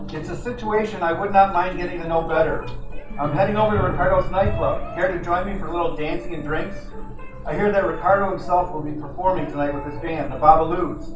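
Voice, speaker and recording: A man's voice: sits high, fairly even intonation, quick pace, close to an everyday voice. Guy doing a wisecracking noir private eye, old radio show style, kinda far from the mic in an echoey room. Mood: flirtatious. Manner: wry, slangy tough-guy talk. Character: wisecracking, flirtatious hard-boiled male private detective. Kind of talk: old-time radio detective drama, noir narration and dialogue. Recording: roomy, distant, music behind.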